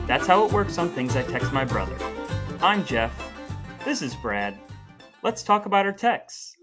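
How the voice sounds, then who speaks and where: A man co-hosting a podcast, talking in a dry, mock-formal way with plenty of puns. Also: medium pitch. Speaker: podcast co-host. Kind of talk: casual chat with scripted segments.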